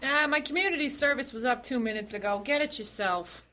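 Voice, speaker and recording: A woman's voice: sits high, brisk, over music, natural voice. An actress playing a nosy, cheeky, folksy woman at a small-town police station in a comic audio drama, teasing and blunt with ungrammatical homespun phrasing. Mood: curt. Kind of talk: audio drama comedy acting.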